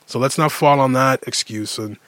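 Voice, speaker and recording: A man's voice: mid-range voice, normal speed, a bit bright, dry recording. Exasperated Canadian man ranting, close dry sound. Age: late 30s. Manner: exasperated, emphatic, repeats phrases for effect. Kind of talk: casual sports rant monologue.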